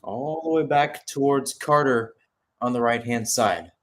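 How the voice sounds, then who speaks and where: A man's voice: mid-range voice, a little slow, fairly bright tone. A man giving live radio-style football commentary as a play-by-play caller, mixing match calls with chatty, conversational asides.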